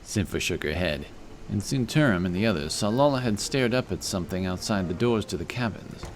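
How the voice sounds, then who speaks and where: A man's voice: fairly low pitch, lively intonation, a little fast, a little hiss or hum. A man reading scripted fantasy narration as a storyteller, with music behind his voice.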